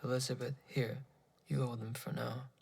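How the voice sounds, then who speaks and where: Gravelly, earnest scientist husband played by a man. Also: medium pitch, little pitch movement, moderate pace, a little mellow. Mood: reassuring. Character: earnest male scientist husband pleading and reassuring his wife. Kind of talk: dramatized horror narration dialogue.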